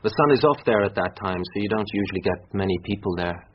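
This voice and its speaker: Man playing low-voiced, earnest British husband. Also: fast, close to an everyday voice. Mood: defensive, candid. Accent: British English. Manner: earnest, protesting, well-spoken. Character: earnest, defensive Englishman, an unfaithful husband under questioning. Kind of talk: radio drama acting. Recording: muffled radio broadcast, hissy with clicks.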